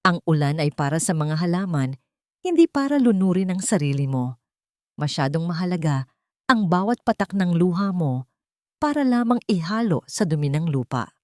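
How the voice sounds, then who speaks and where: A woman with a Filipino accent voicing a gentle, soft-spoken male stranger who consoles in an audio story. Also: fairly low pitch, sing-song, moderate pace, no echo, studio quality. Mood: gentle, consoling.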